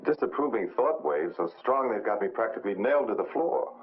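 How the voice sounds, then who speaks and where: An actor playing a suave, wry American crime-fighter with secret powers in a 1950s film drama. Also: male voice, fairly low pitch, lively intonation, a little fast, close to an everyday voice. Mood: wry, defiant. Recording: tinny, noisy old film soundtrack.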